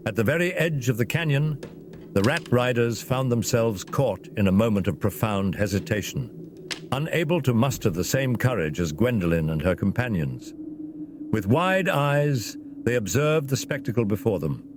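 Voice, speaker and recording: A man's voice: mid-range voice, expressive, moderate pace, background noise. A man narrating a scripted fantasy story in a dramatic storytelling style.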